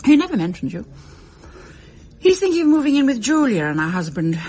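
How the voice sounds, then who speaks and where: A disapproving older mother in a radio drama. Her voice is fairly high and sharply inflected, needling and reproachful. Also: sing-song, brisk, warm tone. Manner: pointed, needling.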